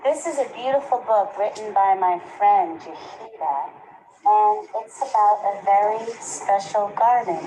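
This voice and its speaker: Female preschool teacher for a storybook read-aloud, mid-pitched, engaging children with questions, slight background noise. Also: mellow, low-quality recording. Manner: pauses to ask the children questions. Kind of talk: read-aloud of a picture book to young children.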